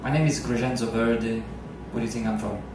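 A man's voice: mid-range voice, fairly even intonation, a little fast. Italian-accented man, playful stage banter, very echoey lounge heard from afar. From Naples, Italy. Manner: joking, chatty, quizzes the crowd. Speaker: pianist entertainer. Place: cruise ship lounge stage, large room. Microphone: handheld mic. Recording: echoey lounge from far off, audience noise.

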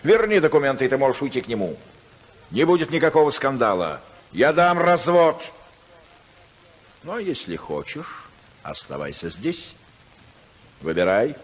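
An actor playing a cynical, scheming senator husband with a sardonic, theatrical, mock-polite manner in a 1950s Soviet radio drama. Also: male voice, medium pitch, big pitch swings, normal speed, pauses often. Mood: bargaining, cajoling. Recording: 1950s radio recording, long hall reverb.

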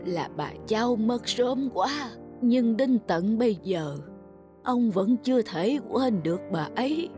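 A gentle old grandfather in a cartoon. He speaks tenderly and wistfully, taking his time like a wise elder, with music playing underneath. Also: medium pitch. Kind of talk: cartoon dub.

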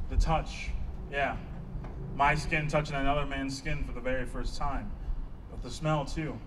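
A man's voice: mid-range voice, steady tone, unhurried, natural voice. A man playing a sententious dispenser of recovery slogans in a live staged reading of a play. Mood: earnest. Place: outdoor stage against a building wall. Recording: distant outdoor stage, clear background noise.

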